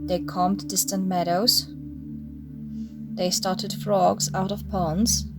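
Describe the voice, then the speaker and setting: A woman narrating a children's story in a measured, expressive read-aloud style. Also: mid-range voice. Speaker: storybook reader. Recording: music playing behind the voice. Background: music.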